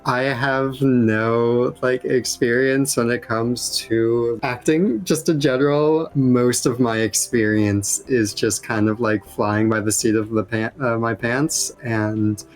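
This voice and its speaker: A man chatting casually and haltingly as an amateur voice actor on a panel, rambling a little. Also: mid-range voice, steady tone, soft background music, poor audio. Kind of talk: casual chat.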